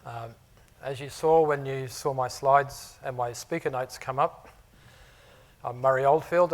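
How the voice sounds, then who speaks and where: A middle-aged man with a New Zealand accent tinged with Australian, presenting at a conference in a loose, conversational way. He fills his sentences with "you know" and "uh", and his pitch sits in a middle range. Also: fairly bright tone. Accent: New Zealand, mixed with Australian. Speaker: technology architect presenting to customers. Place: conference room before a live audience.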